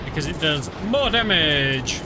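A British man streaming a game, explaining it in a relaxed, filler-heavy casual commentary. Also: on the high side, measured pace. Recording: game music behind.

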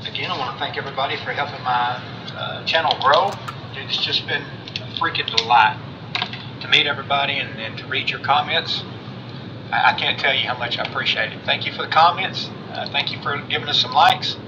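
A man's voice: mid-range voice, fairly quick. A man hosting a gaming channel, chatting casually and gratefully to viewers while he plays at home. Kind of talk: casual gameplay commentary. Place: indoor room at home. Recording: roomy, dull, with loud background noise.

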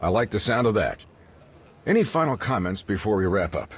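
An actor playing a polished broadcast interviewer in a sci-fi game, with a presenterly delivery. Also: male voice, fairly low pitch, sing-song, brisk. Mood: polished, upbeat. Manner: smooth-talking, presenterly. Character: polished male holonews interviewer signing off a broadcast. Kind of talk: scripted game news broadcast.

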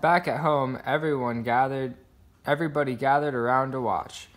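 A man's voice: medium pitch, fairly even intonation, moderate pace. A man reading a children's picture book aloud, voicing the sound words expressively. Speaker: storybook reader.

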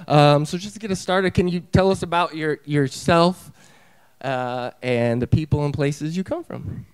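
A man with a fairly high voice hosts a group discussion. He speaks informally, as a host addressing a circle on stage. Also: unhurried. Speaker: host introducing discussion questions. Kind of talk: informal hosting of a school assembly circle discussion. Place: theatre stage in an auditorium.

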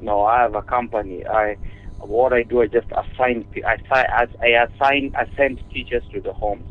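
A man handling a business call over the telephone, polite but halting and often repeating himself. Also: mid-range voice, steady tone. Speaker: music lesson company owner. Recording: muffled, narrow telephone line.

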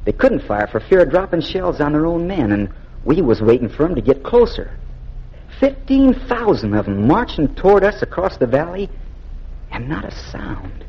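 An actor playing an earnest, humble young Union soldier with an American accent and folksy, hesitant speech in a 1940s-style radio drama. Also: male voice, fairly high pitch, sing-song, normal speed, husky, heavily acted. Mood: haunted, confiding. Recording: muffled old 1940s radio recording.

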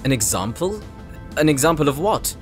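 A man's voice: a little high, sing-song, normal speed, over music. A man playing a stubborn, guarded warrior smith in an audio roleplay drama, terse and earnestly indignant. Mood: alarmed, indignant. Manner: terse, defensive.

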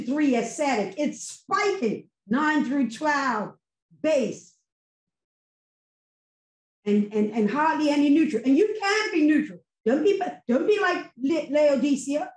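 A woman's voice: high voice, lively intonation, slow. A middle-aged woman giving a fervent, preacherly response to a sermon, full of emphatic rhetorical questions, on a video call. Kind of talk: impassioned post-sermon testimony. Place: home room with virtual background. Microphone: laptop webcam on video call. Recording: echoey video call audio.

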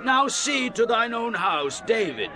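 An actor playing an angry rebel leader in a scripture drama, shouting defiantly in drawn-out phrases. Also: male voice, extremely high pitch, big pitch swings, crowd noise, heavily acted.

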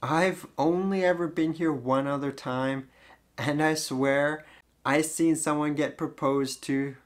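A young man narrating his road trip vlog in a relaxed, easygoing way. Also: a little high, takes it slowly. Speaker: travel vlogger.

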